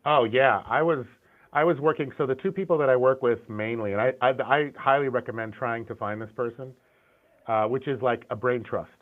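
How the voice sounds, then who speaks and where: A man's voice: medium pitch, brisk. A middle-aged man, a concept art and animation director, talking candidly in a casual interview, often restarting and repeating words. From Syrian, grew up in the US. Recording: tinny phone-like call audio.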